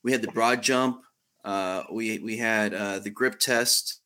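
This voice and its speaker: Male podcast host, low bright voice, lots of uh pauses while leading the chat. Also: fairly even intonation. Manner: steering the talk, many "uh" pauses. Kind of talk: podcast interview.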